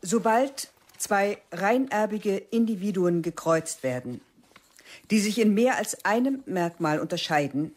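A woman's voice: mid-range voice, expressive, a little slow, darkish tone, no echo. A woman playing a stern older biology teacher. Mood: lecturing. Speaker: narrator and lead. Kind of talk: radio play narration and acting. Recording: radio play with music underneath.

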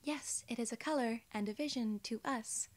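A soft-spoken woman in her twenties doing an ASMR role-play as a polite alien gatekeeper, with measured, formal phrasing, close to the microphone. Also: a little high. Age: 20s. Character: young, polite, friendly female alien gatekeeper. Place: small indoor room.

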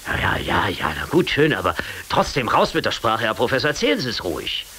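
Excitable, wildly swooping radio host. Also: male voice, lowish voice, a little fast, slightly rough, heavily acted. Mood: flustered. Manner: theatrical, flustered, stammering. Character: excitable, naive male radio show host. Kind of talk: 1980s radio comedy sketch. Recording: hissy 1980s radio recording.

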